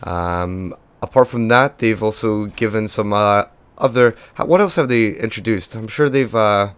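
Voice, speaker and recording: Young male game host, voice gone and low, monotone, rambling casual commentary. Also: normal speed, clean tone. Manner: rambling, chatty, filler-heavy. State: lost voice, limited pitch. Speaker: gaming video host.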